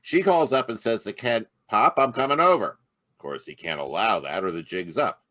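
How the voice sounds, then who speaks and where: A man's voice: fairly low pitch, expressive, moderate pace, a bit bright. A man voicing a brash, plain-talking police inspector who speaks in a casual, slangy way in a live online radio drama. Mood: confident.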